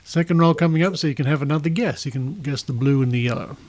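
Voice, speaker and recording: A man's voice: a little high, fast, darkish tone. A middle-aged man with an Australian accent, presenting a podcast casually with conversational asides. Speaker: podcast host.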